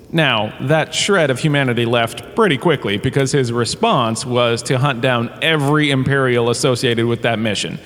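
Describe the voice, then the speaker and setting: A man playing a washed-up holodrama star who lectures in a comedic audio drama, chatty and wry, with theatrical storytelling. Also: fairly high pitch. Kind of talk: comedic scripted lecture narration. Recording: long reverberant hall, faint ambient noise.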